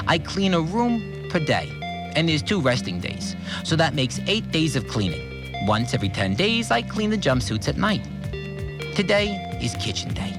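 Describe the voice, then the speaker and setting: A man playing a blunt, irritable, obsessively tidy cleaner in a first-person audio drama, plain-spoken and quick to swear. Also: medium pitch. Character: obsessively orderly, irritable male cleaner, simple-minded rule follower. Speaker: voice actor in a fiction podcast.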